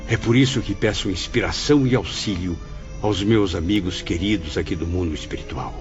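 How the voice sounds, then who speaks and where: A man's voice: deep voice, brisk, close to an everyday voice. Male actor as humble penitent spirit, music behind, muffled, solemn, heartfelt, formal audio drama delivery. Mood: anxious, humble.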